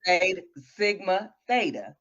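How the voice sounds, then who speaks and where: A bubbly woman opens a podcast with excitement, stacking up repeated words as she greets the guest. The sound is a little dull and lo-fi. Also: mid-range voice, measured pace. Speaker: podcast co-host. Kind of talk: casual interview talk.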